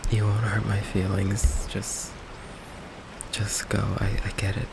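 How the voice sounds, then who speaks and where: Low, gravelly man as a gentle vampire boyfriend. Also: background noise, heavily acted. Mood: resigned, hurt. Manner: hesitant, halting, soft-spoken, apologetic. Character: gentle, insecure male goth vampire boyfriend confessing his secret. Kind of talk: ASMR boyfriend roleplay. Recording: steady rain ambience behind the voice. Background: rain ambience.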